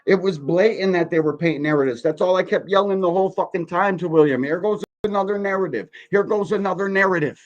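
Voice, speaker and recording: Blunt, ranting man with a higher-pitched voice. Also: fast, few pauses, highs cut off. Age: 40s. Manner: blunt, ranting, emphatic with rhetorical questions. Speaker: podcast host reacting to a video. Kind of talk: heated, profane livestream commentary.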